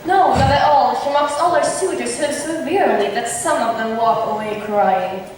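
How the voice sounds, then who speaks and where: A kindly noble father played by a woman in a high voice speaks formally and with measure. The school stage performance is heard from far away amid strong reverberation. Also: normal speed, lightly acted. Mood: amused, mild. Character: kindly older nobleman, a doting father and uncle. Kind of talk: amateur stage acting, school drama club. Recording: distant echoey hall, clear background noise.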